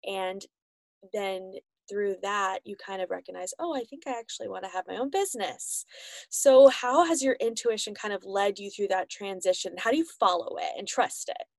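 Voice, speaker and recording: A woman's voice: medium pitch, normal speed, creaky, no room sound. A woman interviewer speaks up close in a dry, dead room. Her questions come out casual and reflective, full of small fillers as she thinks aloud. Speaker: podcast host, interviewer.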